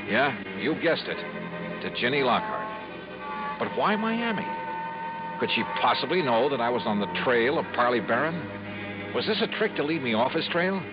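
An actor playing a brash, wry freelance investigator in punchy, hard-boiled 1950s radio style. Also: male voice, mid-range voice, natural voice. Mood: exasperated, wry. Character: brash, wry freelance insurance investigator narrating his case. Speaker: lead character and narrator. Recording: dull 1950s radio recording.

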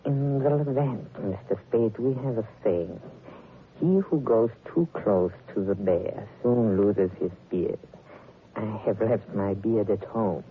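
An actor playing a suave, menacing little foreign blackmailer in 1940s radio drama style, mannered and excessively polite. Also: male voice, fairly low pitch, takes it slowly. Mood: smug, sly. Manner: overly polite, sly, mannered. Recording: noisy, muffled old radio recording.

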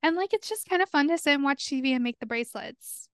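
A woman's voice: high-pitched, lively intonation, fast, a bit bright, no echo, studio quality. A woman in her early thirties co-hosting a podcast, bubbly and giggly in casual chat. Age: 30s. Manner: bubbly and giggly, lots of fillers. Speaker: podcast co-host.

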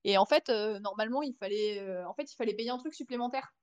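A woman's voice: mid-range voice, quick pace, darkish tone. A young French woman, a podcast guest, telling a long anecdote in casual chat with many fillers and restarts. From France. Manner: chatty storytelling with many fillers.

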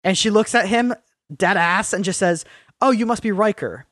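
A young man co-hosting a podcast, chatting casually, rambling, swearing freely and getting animated in bursts. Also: sits high, normal speed, dry recording, studio quality. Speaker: podcast co-host. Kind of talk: casual chat.

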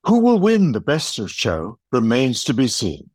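Audio play narrator, a man acting, stately documentary manner, husky voice, animated melody, unhurried. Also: mid-range voice, no echo, full character voice. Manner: storytelling, formal. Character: stately male wildlife-documentary style narrator. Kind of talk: scripted narration.

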